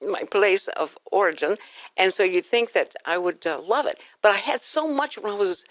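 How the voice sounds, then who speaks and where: A woman's voice: mid-range voice, expressive, normal speed, no room sound. A woman from Houston, Texas, hosting a casual radio interview in an effusive, rambling, anecdotal way. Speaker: talk show host. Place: radio studio.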